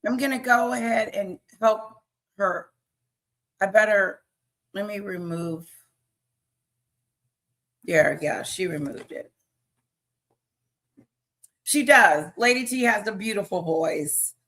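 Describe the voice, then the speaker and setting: A woman with a raspy voice hosting a livestream, chatting frankly and casually with her guest. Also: mid-range voice, slow pace, long pauses, fairly bright tone. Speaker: livestream host. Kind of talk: casual chat.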